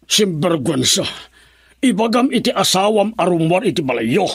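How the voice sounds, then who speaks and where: Menacing older Filipino dad, radio drama: raspy, very animated, vengeful, prone to shouting. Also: male voice, high voice, moderate pace, heavily acted. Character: furious, vengeful older father with a gun.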